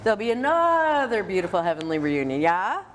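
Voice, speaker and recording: A woman's voice: medium pitch, sing-song, fairly quick, slight background noise. An American woman hosting an interview, encouraging her guest and restating what is said. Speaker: interviewer and host. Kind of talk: interview at a senior center, prompting and recapping.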